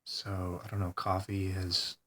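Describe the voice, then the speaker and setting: A congested man talking hesitantly in a rambling personal video diary, full of pauses and ums. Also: low voice, monotone, fairly bright tone. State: congested, stuffed-up nose. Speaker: vlogger.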